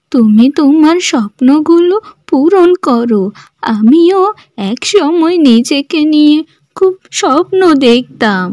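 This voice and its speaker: A woman playing a caring middle-aged village mother-in-law in slow, melodramatic cartoon dialogue. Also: sits high, a little mellow, dry recording, studio quality.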